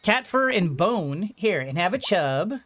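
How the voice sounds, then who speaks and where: Woman, gaming commentary, gentle, thinking aloud, slightly gunky throat, faint room noise. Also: fairly low pitch, big pitch swings, unhurried, soft background music. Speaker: gaming YouTuber. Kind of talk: let's-play commentary.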